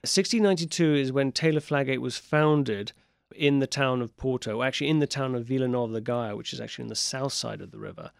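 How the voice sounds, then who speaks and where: A man from Portugal with a gravelly, creaky voice speaks as a studio guest, chatty and enthusiastic, and his talk is dotted with fillers. He is recorded close and dry in the studio, with no room sound at all. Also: mid-range voice, quick pace, dry recording. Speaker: port wine sales and export manager. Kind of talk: radio interview guest. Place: radio studio. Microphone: studio microphone.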